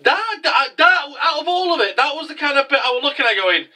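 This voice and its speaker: A man's voice: extremely high pitch, big pitch swings, a little fast, sharp tone, far from the mic. A young man with a cold, hosting a profane, rambling casual review show. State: congested from a cold. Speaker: anime review host. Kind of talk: casual recap commentary.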